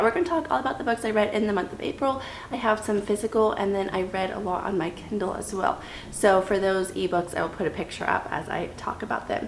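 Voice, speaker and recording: A woman's voice: mid-range voice, roomy sound, a little hiss or hum, low-quality recording. A woman in her thirties chatting casually and enthusiastically to viewers as a book vlogger, sounding slightly stuffy and repeating words for emphasis. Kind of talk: casual chatty reading wrap-up to camera.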